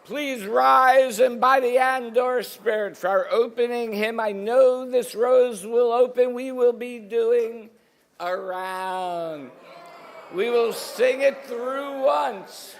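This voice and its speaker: A man's voice: extremely high pitch, slow, gravelly. A man leading a congregation, giving spoken instructions for singing an opening hymn. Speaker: song leader at a church service. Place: church service before a congregation.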